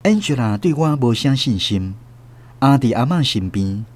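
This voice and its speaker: Wistful Taiwanese grandfather, expressive narration. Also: medium pitch, moderate pace. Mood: tender, reflective. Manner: literary, emotive storytelling. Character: elderly Taiwanese grandfather recalling a lost love, tender, wistful. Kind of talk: scripted radio drama narration.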